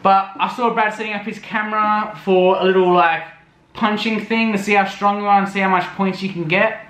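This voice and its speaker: A man's voice: sits high, fairly even intonation, brisk, a bit bright, reverberant. A young man narrating a casual vlog voice-over, rambling with lots of fillers. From Australia. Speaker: vlogger.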